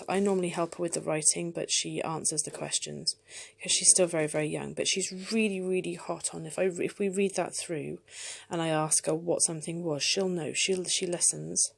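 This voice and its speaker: A woman's voice: fairly low pitch, gravelly. A young British woman talking in a casual vlog style, rambling a little and hesitating as she goes. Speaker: homeschooling mother and vlogger.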